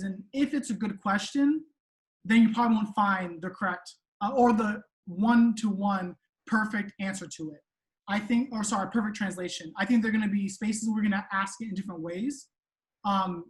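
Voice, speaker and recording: A woman's voice: mid-range voice, rough recording. A woman language teacher speaking on a video call panel, hesitant and self-correcting, with many ums. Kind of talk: webinar panel discussion.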